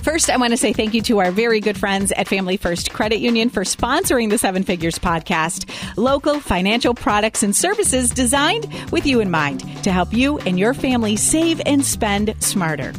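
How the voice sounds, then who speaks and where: A woman's voice: on the high side, lively intonation, normal speed, over music. A woman hosting a podcast, presenting to listeners and interviewing in a direct way. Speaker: podcast host.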